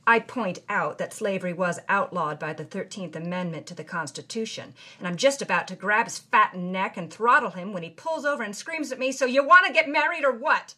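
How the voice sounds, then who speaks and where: A wry 1950s starlet narrating. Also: female voice, mid-range voice, a little fast, hardly any pauses, pushed and bright. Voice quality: wry, breezy storytelling. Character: brash 1950s B-movie actress, woman, thirty. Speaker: narrator reading her journal. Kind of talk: scripted narration.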